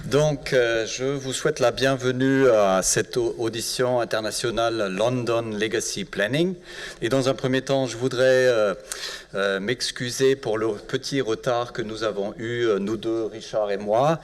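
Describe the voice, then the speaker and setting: A man's voice: mid-range voice, steady tone, measured pace. A man with a Bavarian German accent, a planning professor opening a public lecture in a hesitant way, with many filler sounds. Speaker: urban planning professor, event moderator.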